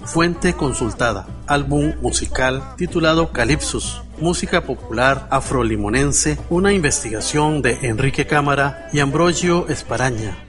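A man presenting on the radio, reading informative scripted narration in a measured, formal way. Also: mid-range voice, animated, a bit bright. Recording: music under the voice. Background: background music.

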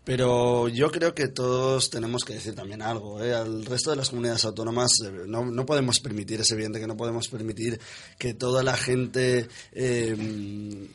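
A man's voice: medium pitch, fairly even intonation, moderate pace. A man from Valencia speaking on a radio panel as a party member, earnest and insistent, repeating words as he builds his point. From Valencia, Spain. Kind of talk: panel debate. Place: radio studio.

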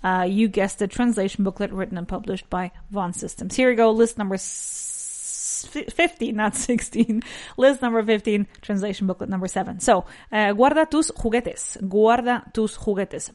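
Woman, nasal voice, English teacher, slow repetition, bilingual lesson. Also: a little high, a little mellow. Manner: repeats sentences slowly, switches between English and Spanish.

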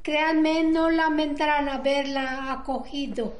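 A woman playing a solemn, protective jungle goddess in an amateur radio drama, with halting delivery. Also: sits high, steady tone. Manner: halting, deliberate, stumbles on words.

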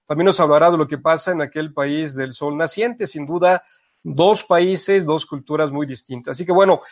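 Priest hosting in a dry studio. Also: male voice, on the high side, normal speed, no echo. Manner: thoughtful, with frequent hesitations. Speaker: priest co-host. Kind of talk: radio program hosting. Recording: dull dry radio studio audio.

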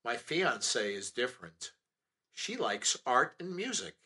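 A man reading as a slow young American man. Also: mid-range voice, very animated, bright, forceful tone, exaggerated voice. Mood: plain. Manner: slow, deliberate, clearly spaced. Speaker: course reader. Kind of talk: slow scripted language-lesson reading.

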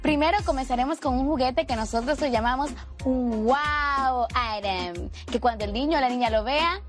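A woman's voice: sits high, moderate pace. A woman from the Republic, presenting a scripted instructional charity video. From Dominican Republic. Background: background music.

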